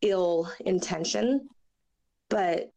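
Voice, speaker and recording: A young woman with a raspy, hoarse voice from a chest cold, sharing her story as an interview guest in a halting, earnest way with many fillers. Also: lowish voice, mellow. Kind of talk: personal testimony in a podcast interview.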